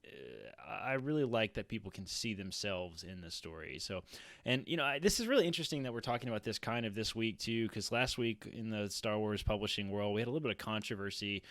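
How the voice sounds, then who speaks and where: A man's voice: on the low side, fast, a bit bright, dry recording. An American man in his thirties talking earnestly in a casual roundtable discussion, as a podcast panelist. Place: small room at home. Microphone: close boom-arm podcast mic.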